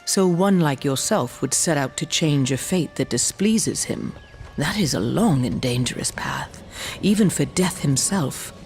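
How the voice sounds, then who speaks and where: A woman playing a solemn old shaman of a dying giant race in a fantasy game, speaking in a measured, mystical way. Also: deep voice, animated, darkish tone, faint music behind. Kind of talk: dark fantasy game acting.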